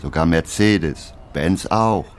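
A man playing a deadpan radio-play narrator who relays a drunkard's grand claims in clipped, repetitive phrases. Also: low voice, takes it slowly. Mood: deadpan reporting. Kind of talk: German radio play narration in reported speech.

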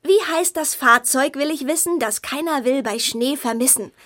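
A clever little girl in a fairy-tale radio play. Her voice is very high and girlish, keen and eager, with a plucky bargaining confidence. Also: normal speed, fairly bright tone. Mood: riddling. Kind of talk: children's audio drama acting.

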